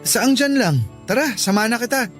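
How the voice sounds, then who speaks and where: Pleading young Filipino college suitor. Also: male voice, very high-pitched, moderate pace, fairly bright tone, faint music behind. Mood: eager, coaxing. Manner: pleading, persistent. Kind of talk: Filipino radio drama acting.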